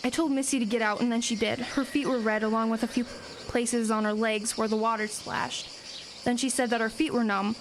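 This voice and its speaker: A woman playing a plucky teenage girl in an audio drama, matter-of-fact, earnest and brisk. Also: on the high side, steady tone, noisy background, close to an everyday voice.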